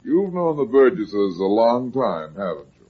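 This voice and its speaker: An actor playing a brusque, sardonic, wisecracking private detective in a vintage radio drama. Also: male voice, fairly low pitch, big pitch swings, unhurried, husky, full character voice. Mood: needling. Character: hard-boiled, wisecracking male private detective. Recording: muffled vintage radio recording.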